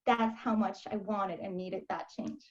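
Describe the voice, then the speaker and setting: A woman's voice: mid-range voice, steady tone, muffled recording. A young woman from Lebanon, a life coach, telling her story candidly in an interview over a video call. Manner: candid, storytelling, quick asides. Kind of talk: interview conversation.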